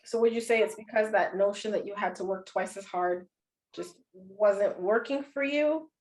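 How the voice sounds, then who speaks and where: A woman's voice: medium pitch, normal speed, a little mellow, rough recording. A woman hosting an interview, framing her questions at length and backing up her guest with supportive affirmations. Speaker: podcast host. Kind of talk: interview conversation.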